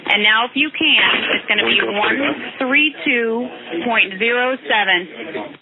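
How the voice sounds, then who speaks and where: A woman's voice: a little high, a little slow, phone-quality sound, a crowd in the background. A woman air traffic controller giving reassuring, encouraging instructions to a pilot over aviation radio.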